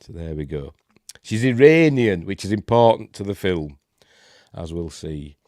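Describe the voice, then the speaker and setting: A man's voice: low-pitched, expressive, a little slow, a little mellow, dry recording, clean studio sound. A man with a Yorkshire accent hosting a rambling live-stream film review, congested and snuffly from a cold. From Yorkshire, England. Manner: rambling, hesitant, many fillers. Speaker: host.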